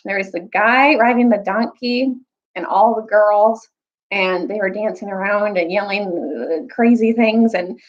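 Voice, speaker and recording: A woman reading and retelling a story aloud in an expressive storytime style, like a teacher with students. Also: mid-range voice, unhurried, highs cut off. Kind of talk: storytime read-aloud with recap.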